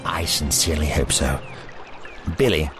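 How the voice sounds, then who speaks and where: A man's voice: rumbling low, moderate pace. A man playing an eccentric, authoritative older adventurer, cautious and kindly, speaking formally and precisely in an audio drama. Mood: concerned. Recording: voice over prominent music.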